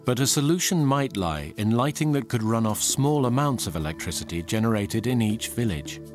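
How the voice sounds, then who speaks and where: A man narrating a documentary in a measured, scripted delivery. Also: medium pitch, lively intonation. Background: music.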